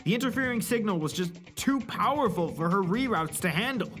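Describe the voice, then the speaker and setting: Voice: mid-range voice, lively intonation, a little fast, a bit bright, faint music behind. A narrator reading a scripted story in a dramatic style.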